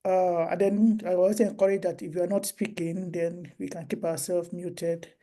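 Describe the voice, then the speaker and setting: Female host, online book talk. Soft mellow tone, lowish pitch, halting and considered delivery. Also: little pitch movement. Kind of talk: semi-formal moderated online talk. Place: online video call.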